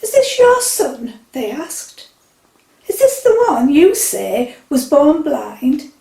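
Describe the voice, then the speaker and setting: A woman reading a Bible passage at a church service, in a measured, expressive way. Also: high-pitched, gravelly. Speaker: scripture reader. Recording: echoey church from a distance.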